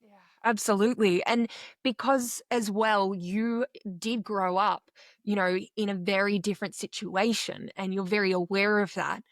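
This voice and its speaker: A woman's voice: medium pitch, steady tone, moderate pace, no echo. Woman host, chatty rambling questions, lots of likes, dry mic. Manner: warm-up questions with many fillers, supportive. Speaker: podcast host. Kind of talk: casual interview questions.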